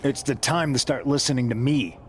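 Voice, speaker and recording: A man's voice: medium pitch, a little fast, a little hiss or hum, lightly acted. A man voicing an injured wrestler, bitter and insistent about revenge. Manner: resentful, insistent.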